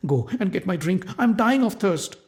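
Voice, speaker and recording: A man's voice: high-pitched, expressive, moderate pace, darkish tone, voice pushed up. Man doing a scheming queen, sly, coaxing, storybook voice.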